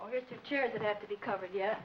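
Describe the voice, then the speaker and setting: An actress playing a fretful, motherly, sharp-tongued secretary and bride in a 1940s film comedy. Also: medium pitch, fast, a little hiss or hum, close to an everyday voice. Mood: brisk, motherly. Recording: muffled 1940s film soundtrack, music behind.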